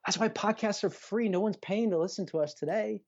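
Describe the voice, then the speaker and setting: A man's voice: high voice, fairly quick, darkish tone, muffled recording. A middle-aged man talking as a podcast guest and coach in an earnest, emphatic, conversational motivational style, repeating phrases and asking rhetorical questions.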